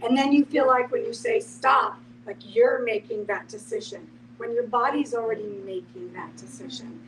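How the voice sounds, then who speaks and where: A woman's voice: fairly high pitch, moderate pace. A woman earnestly explaining to a discussion group in a conversational way, with everyday examples. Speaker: end-of-life educator in a group discussion. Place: echoey room, away from the microphone. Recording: roomy, distant microphone, clear background noise.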